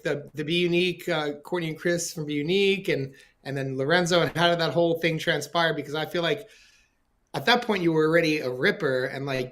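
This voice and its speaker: A man's voice: on the high side, a bit bright. A man with a voice a bit above the usual, hosting a casual podcast interview. He circles around his questions, filling gaps with "like" and "um", friendly and loose. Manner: friendly, meandering questions full of "like". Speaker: podcast host.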